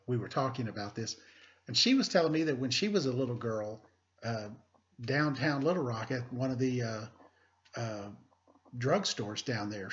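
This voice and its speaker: A man speaking as an elementary teacher, reading aloud to pupils with deliberate emphasis and informal asides. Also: mid-range voice, highs cut off. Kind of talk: read-aloud lesson with casual asides.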